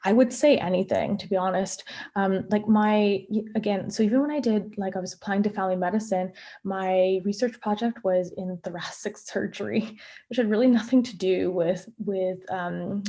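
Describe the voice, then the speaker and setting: A woman's voice: mid-range voice, moderate pace, mellow. Chatty young woman answering questions. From Canada. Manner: chatty, many fillers and restarts. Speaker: family physician answering student questions. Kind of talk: casual Q&A in a virtual session. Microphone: video call.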